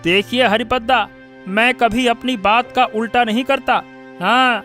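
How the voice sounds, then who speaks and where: Guy doing young village lackey, super high bright voice, protesting, cartoon. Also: steady tone, measured pace, faint music behind, heavily acted. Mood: defensive. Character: defensive, boastful young village errand man. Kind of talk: cartoon story voice acting.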